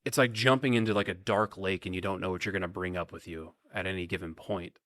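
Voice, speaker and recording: An American male actor talks in a conversational interview style. His voice sits low and the recording is dry, with little room around it. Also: fairly even intonation, fast talker, fairly bright tone, no room sound.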